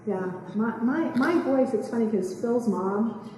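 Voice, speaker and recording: A woman, giving a quick, chatty seminar talk and asking the room questions. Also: fairly high pitch, lively intonation, heavy reverb, big-room sound, recorded from a distance, low-quality recording. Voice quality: soft voice. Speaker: real estate investing speaker. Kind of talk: live seminar talk to a small audience. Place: meeting room, indoors. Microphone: far camera.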